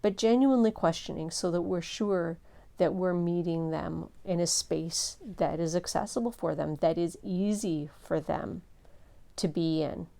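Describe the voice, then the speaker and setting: A middle-aged woman pastor teaching a seminar in a soft, low voice, gentle and earnest, with measured pauses. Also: vocal fry.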